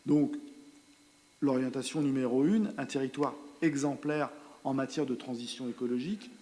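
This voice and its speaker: A man giving a spoken presentation at a council meeting, explaining results in a hesitant, halting way with repeated words. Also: medium pitch, warm tone. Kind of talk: spoken presentation of survey results and strategy, partly improvised. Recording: reverberant hall sound.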